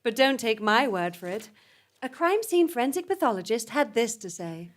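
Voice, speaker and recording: Female announcer, high voice, polished sales delivery, scripted comedy advert. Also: sing-song, moderate pace. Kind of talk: scripted spoof advert read.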